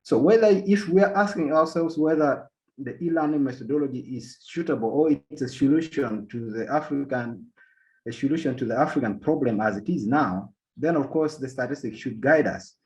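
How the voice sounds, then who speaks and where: A man from Uganda giving an academic policy talk as a panel speaker, halting often and repeating words as he restarts his sentences. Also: medium pitch, a little mellow. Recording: dull lo-fi sound.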